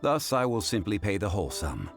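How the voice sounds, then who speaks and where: A refined gentleman, formal and measured. His voice is low but moves widely in pitch. Clear game music plays behind. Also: sing-song. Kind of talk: game voice acting.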